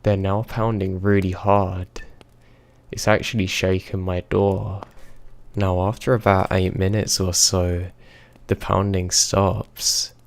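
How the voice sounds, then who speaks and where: A man with a very deep, gravelly voice reads a scary story aloud. He keeps his delivery flat and unhurried, letting each line settle. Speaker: horror story narrator. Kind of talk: scripted horror narration.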